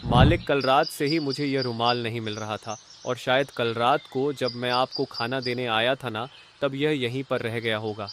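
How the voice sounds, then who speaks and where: A man's voice: medium pitch, steady tone, normal speed, hardly any pauses, a bit bright, lightly acted. A man voicing an anxious, deferential household cook in a cartoon, pleading earnestly, over a noisy recording. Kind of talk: Hindi cartoon story voice acting.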